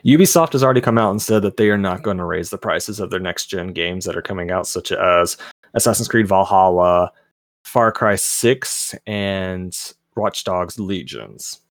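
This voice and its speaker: A man with a lowish voice co-hosting a gaming podcast, reading headlines in a measured, explanatory way. The recording is dry and close, with no room sound. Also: no echo. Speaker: podcast co-host reading news. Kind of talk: casual gaming news chat.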